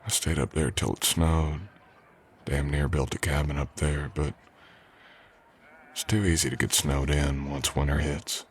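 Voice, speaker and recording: Man playing a very deep-voiced, folksy cowboy. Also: little pitch movement, slightly rough, heavily acted. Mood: wistful, reflective. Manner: folksy cowboy drawl, unhurried, modest and courteous. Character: polite drifter cowboy hired as ranch hand, Old West. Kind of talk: ASMR roleplay acting, addressing the listener.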